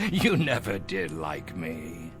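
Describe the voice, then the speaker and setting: An actor playing a ruthless self-made business tycoon in an audio drama, drawing out phrases with mocking relish. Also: male voice, deep voice, fairly bright tone, heavily acted. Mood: sly, reminiscing.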